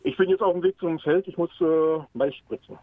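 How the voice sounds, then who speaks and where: A man's voice: a little high, brisk, no echo. Man calling from his car on a tinny phone line. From Saxony-Anhalt. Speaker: caller. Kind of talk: casual phone chat.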